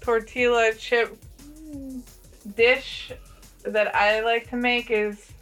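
A woman talks casually and hesitantly, taking her time. Her voice is fairly high, with faint music behind it. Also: little pitch movement, extremely slow, fairly bright tone. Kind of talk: casual chat.

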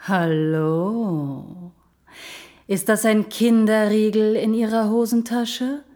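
A woman's voice: mid-range voice, fairly even intonation, dark tone, close to an everyday voice. A woman playing a seductive, flirtatious talking milk jug as a femme fatale in a noir detective parody. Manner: slow, flirtatious, sultry.

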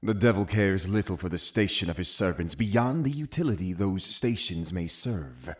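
An actor playing a cunning, zealous Puritan uncle in an audio drama, commanding and condescending with deliberate phrasing. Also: male voice, low voice, expressive. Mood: menacing, righteous. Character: cunning, zealous Puritan uncle, a menacing witch-hunting authority. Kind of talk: full-cast audio drama acting.

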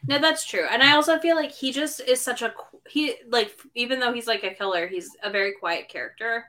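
Bright lively woman on a book podcast, says like a lot, bounces into little character voices. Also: on the high side, a little fast. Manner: animated, many "like" fillers, acts out characters' lines. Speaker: podcast co-host. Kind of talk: casual book discussion.

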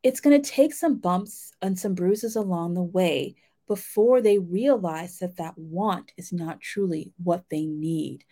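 A woman's voice: on the low side, measured pace, mellow. A middle-aged woman giving an informal, explanatory lesson on writing in a chatty, self-deprecating way. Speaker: writing instructor and romance novelist.

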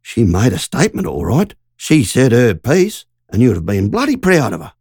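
A woman's voice: extremely low pitch, wildly swooping pitch, normal speed, dark tone, husky, no echo, clean studio sound, heavily acted. A reader doing Margie, an urgent country woman. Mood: indignant. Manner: breathless, urgent storytelling. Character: shaken older country woman telling a story.

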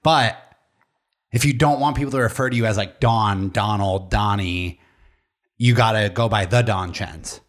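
A man's voice: on the low side, normal speed. A man co-hosting a comedy podcast in casual, joking banter, swearing freely as he talks. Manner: joking, profane.

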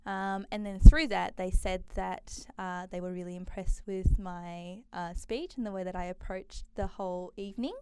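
A woman's voice: lowish voice, fairly even intonation, normal speed, few pauses, a little hiss or hum. A young woman from Malaysia, chatting as an interview guest, with frequent um and uh fillers. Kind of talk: casual interview conversation.

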